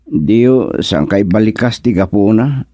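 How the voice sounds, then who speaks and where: A man's voice: lowish voice, slow, dark tone, no echo, clean studio sound. A man playing a flustered, evasive young suitor in an Ilocano radio comedy-drama, with an Ilocano accent. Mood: flustered pleading.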